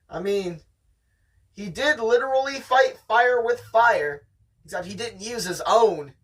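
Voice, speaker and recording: Bright raspy voice reading a story out loud, bouncy and casual, bit far from the mic. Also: medium pitch, lively intonation, takes it slowly, pauses often. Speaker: host reading a novel aloud.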